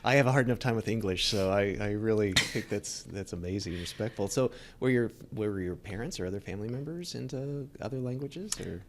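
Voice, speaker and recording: A man from Texas hosting a casual interview in an informal, appreciative, halting way. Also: on the low side, background noise. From Dallas, Texas, United States. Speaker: interview host.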